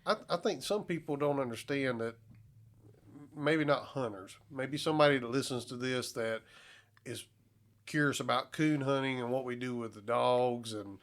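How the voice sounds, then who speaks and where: A man's voice: medium pitch, moderate pace. A man chats casually as a podcast participant. His voice sits in a middle register. He speaks in a plain, folksy, country way. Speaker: coon hound breeder on a hunting podcast. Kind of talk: casual chat.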